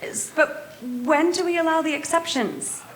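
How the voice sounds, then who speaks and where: An actress playing a flustered festival organizer. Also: female voice, extremely high pitch, normal speed, slight background noise. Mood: earnest. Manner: flustered, hesitant with many fillers. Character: harried woman running a food festival. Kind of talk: staged reading of a musical. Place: theater stage. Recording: roomy live stage sound.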